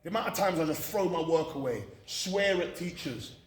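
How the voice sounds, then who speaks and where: A man's voice: fairly high pitch, animated, moderate pace. A British man giving a candid, emphatic motivational talk to students, repeating phrases for effect. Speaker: motivational speaker at a college. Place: indoor room at a college. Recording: echoey room from far off, faint noise.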